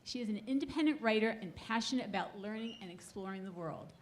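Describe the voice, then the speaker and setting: A woman's voice: mid-range voice, quick pace. A woman hosting an assembly, giving a prepared introduction for a guest speaker. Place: school assembly. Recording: faint background noise.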